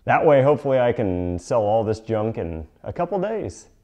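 A man's voice: mid-range voice, big pitch swings, normal speed, warm tone. A man in his forties filming a casual vlog, chatty and upbeat, with plenty of fillers. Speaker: vlogger, truck parts seller. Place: garage, driveway and large exhibition hall.

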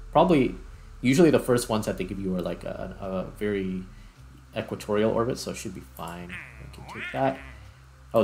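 A man giving casual gameplay commentary as host, thinking aloud in broken, halting phrases. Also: low voice, darkish tone, slight background noise. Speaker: gaming video host. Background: music.

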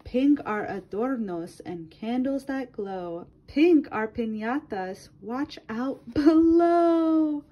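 A woman's voice: high voice, animated, slow pace, few pauses, lo-fi sound. A woman reading a picture book aloud to children in a sing-song manner, addressing her young listeners directly.

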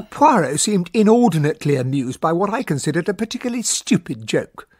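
An actor playing a bluff British gentlemanly sidekick who narrates and talks in a radio play. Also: male voice, sits high, big pitch swings, moderate pace, exaggerated voice. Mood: amused, teasing.

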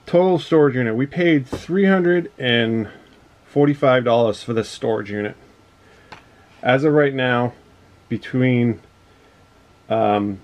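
A middle-aged man giving a casual, halting show-and-tell in a small indoor room on a handheld camera. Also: medium pitch, a little mellow. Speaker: reseller showing storage unit finds. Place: small cluttered home office, indoors. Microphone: handheld phone or camera. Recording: noisy handheld home recording.

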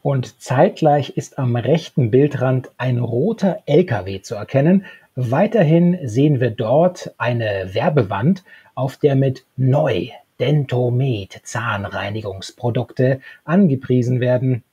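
Heiserer, weich klingender Podcaster. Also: male voice, mid-range voice, mellow, breathy edge, highs cut off. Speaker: podcast co-host. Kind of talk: podcast talk, partly read summary. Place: small book-lined room at home. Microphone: handheld-style recorder microphone close up, earphones.

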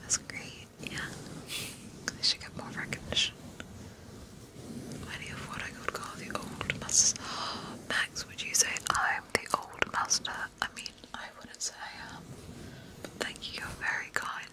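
A young woman whispering softly as she chats with viewers of her live stream. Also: a little slow, pauses often, low-quality recording. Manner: soft unvoiced whisper throughout. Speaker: ASMR creator reading live chat.

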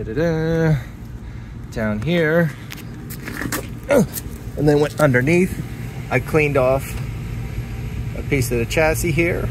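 A man talks through a hands-on demonstration in a casual, unscripted way. His phrases come short and halting as he works. He is recorded outdoors on a handheld phone, with some light background noise. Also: fairly high pitch. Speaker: hobbyist demonstrating an antenna installation. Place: outdoors beside a car in a residential driveway. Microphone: handheld phone filming the car.

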